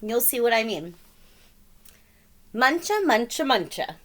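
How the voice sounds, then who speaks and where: Animated, bright-voiced woman reading a storybook. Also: on the high side, a little slow, slight background noise. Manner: dramatic, emphatic, playful with sound words. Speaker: storybook reader. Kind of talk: animated children's read-aloud narration.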